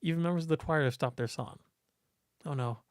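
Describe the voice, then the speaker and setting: A man's voice: on the high side, a little fast, no room sound, clean studio sound. A man giving a dramatic read-aloud narration of a visual novel, now and then stumbling over his words. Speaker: game let's-play host and voice actor.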